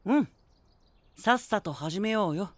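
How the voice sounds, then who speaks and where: A man's voice: high voice, lively intonation, measured pace, no echo. A man playing a cocky, playful pretty-boy knight in an anime audio drama, breezy and teasing with a condescending streak.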